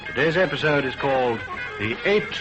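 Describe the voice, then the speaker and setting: A man's voice: mid-range voice, lively intonation, normal speed, lo-fi sound, music in the background. A man giving a formal, dramatic scripted introduction as an old-time radio announcer.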